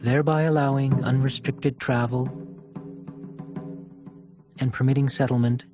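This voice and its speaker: A man's voice: medium pitch, steady tone, measured pace. Formal male documentary narrator over music. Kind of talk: scripted historical documentary narration. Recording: dull lo-fi audio under music. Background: clear music.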